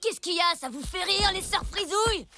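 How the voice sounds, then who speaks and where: Cartoon boy: shrill, animated, boastful, grumbling, quick to take offence. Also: over loud music. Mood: sulky, huffy. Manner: brash, grumbling, slangy. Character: boastful, touchy, mocking young boy. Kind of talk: French children's cartoon dubbing.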